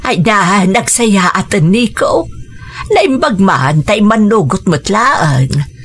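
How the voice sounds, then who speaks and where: A woman's voice: mid-range voice, expressive, takes it slowly, breathy edge. A middle-aged family nanny in a radio drama. She is caring and homely, given to small exclamations, with music playing behind her. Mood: welcoming. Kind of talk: Ilocano radio drama acting.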